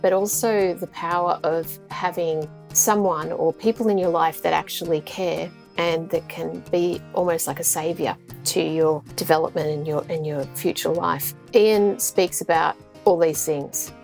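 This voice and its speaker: A woman hosting a podcast, earnest and gentle as she introduces and encourages her guest. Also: fairly low pitch, fairly even intonation, unhurried, creaky, hardly any pauses, soft background music. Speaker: podcast host.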